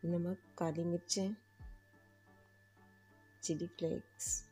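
A woman hosting a home cooking channel, giving calm, instructive step-by-step recipe narration. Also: lowish voice, slow pace, soft background music. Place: home kitchen.

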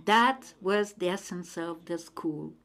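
A woman interpreting a speaker's remarks live, in a measured, steady delivery. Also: on the low side. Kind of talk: live interpretation of a panel discussion.

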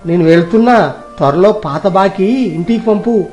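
Man acting as sentimental, high-pitched village uncle. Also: moderate pace, darkish tone, faint music behind, natural voice. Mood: friendly. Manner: sentimental, effusive, familiar village address. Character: rich, trusting, affectionate village uncle, older man. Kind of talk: dramatized moral story acting.

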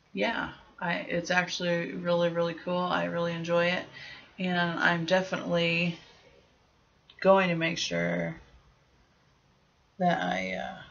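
Slow-paced woman with creaky, bright voice. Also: lowish voice, highs cut off. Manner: informal, thinking aloud, enthusiastic wording. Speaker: product reviewer. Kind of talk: casual unboxing review chat. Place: small indoor room at home.

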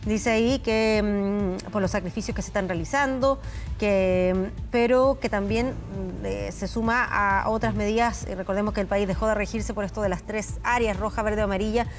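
A Chilean woman anchoring live news, speaking in a measured way with frequent hesitation fillers. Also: mid-range voice, faint music behind. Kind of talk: live studio news commentary.

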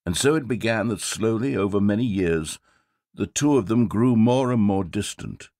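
A man's voice: lowish voice, normal speed, a little mellow, dry recording. A man voicing a sardonic, theatrical game narrator, recorded in a studio. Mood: wry. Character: sardonic, theatrical male storyteller.

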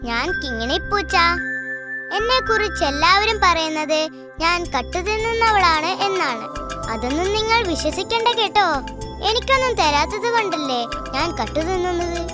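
Cheeky cartoon cat, very high voice, loud kids' music behind. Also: female voice, big pitch swings, normal speed. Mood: cheeky, chatty. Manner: cheeky, talks straight to children. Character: sly chatty female house cat. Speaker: storyteller host. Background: loud children's music.

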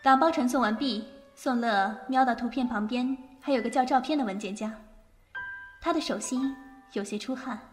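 A woman's voice: fairly high pitch, moderate pace, soft background music. A woman giving scripted narration for an audio drama.

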